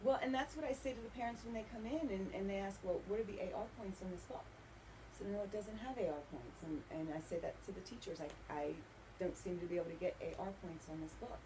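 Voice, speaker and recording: A woman's voice: medium pitch, quick pace, warm tone, poor audio. A woman answering interview questions conversationally, as an author, in an earnest, explanatory way. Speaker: author and bookseller being interviewed. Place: inside a bookstore. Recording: faint background noise.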